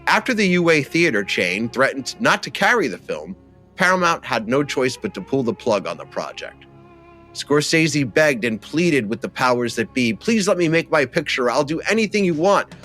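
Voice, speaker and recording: A man's voice: medium pitch, big pitch swings, normal speed, pushed and bright, soft background music. A man co-hosting a film discussion podcast, talking with wry, theatrical flourishes. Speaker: podcast co-host. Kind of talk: film discussion podcast, chat and read-out copy.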